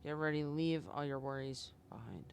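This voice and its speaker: A man with a somewhat high voice streams a video game. He talks informally through his play and reads text aloud, with a faint rumble of noise behind him. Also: brisk, vocal fry. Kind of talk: live playthrough commentary and reading aloud.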